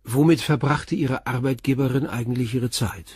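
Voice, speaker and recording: Actor as terse, clear-cut police inspector, smooth and dry. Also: male voice, medium pitch, moderate pace, a little mellow, no room sound, lightly acted. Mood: measured, inquisitive. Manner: terse, methodical questioning. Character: male police inspector, calm, probing, persistent. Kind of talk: German radio crime drama acting.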